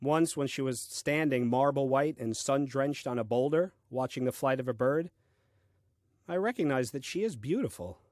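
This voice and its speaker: An actor playing a bemused, earnest first man reading his diary in a comic audio play, deliberate and puzzled. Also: mid-range voice, darkish tone, dry recording, studio quality, lightly acted. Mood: admiring. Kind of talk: audio play diary monologue, dry comedy.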